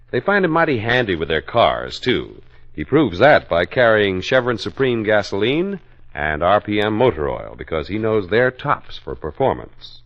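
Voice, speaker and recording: An American male announcer in 1940s radio style. His low voice moves with lots of lift and fall in a folksy sales pitch, on a dull old recording. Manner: folksy, polished salesman delivery. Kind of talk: scripted 1940s radio advertisement narration.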